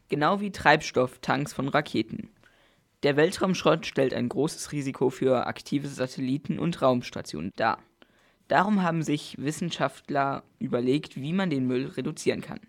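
A male youth radio reporter reads a scripted explainer. His voice sits at a medium pitch and has a noticeable gravelly creak. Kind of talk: scripted news narration.

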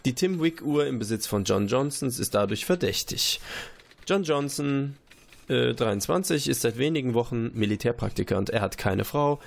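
A man with a gravelly edge to his voice reads out a report in a tabletop game. He breaks off now and then for dry, sarcastic comments. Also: mid-range voice, lively intonation, moderate pace. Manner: dry, sarcastic asides while reading aloud. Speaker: player reading a forensic report. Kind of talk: reading aloud in a tabletop game.

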